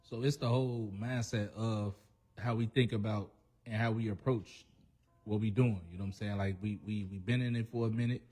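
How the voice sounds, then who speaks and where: A man's voice: lowish voice, fairly even intonation, moderate pace, a little mellow, soft background music. A man speaking as a guest in a casual radio interview, earnest and reflective, talking through his experience. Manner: earnest, reflective, full of "you know what I'm saying". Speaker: guest rapper.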